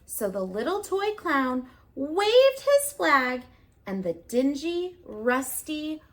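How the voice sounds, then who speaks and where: A woman reading a picture book aloud to preschoolers, gently asking the children questions along the way. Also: sits high, big pitch swings, slow pace.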